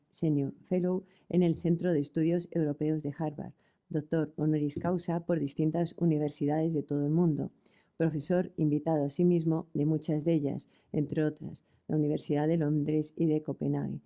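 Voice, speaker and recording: A woman presenting a guest speaker in a formal, measured introduction, listing credentials ceremoniously. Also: deep voice, warm tone. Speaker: event presenter.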